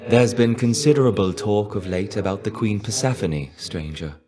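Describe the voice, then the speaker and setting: An actor playing the shade of a fallen Greek warrior in a video game, wry, reserved and melancholy, with a measured, understated delivery. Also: male voice, lowish voice, lightly acted. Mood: gently concerned. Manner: measured, understated, wistful, trailing off at phrase ends. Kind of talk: video game character voice lines.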